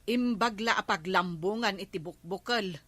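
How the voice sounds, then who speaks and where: A woman's voice: medium pitch, moderate pace, fairly bright tone, dry recording, lightly acted. A woman playing a chummy, haggling village customer in a comedy radio drama, bantering with an Ilocano accent.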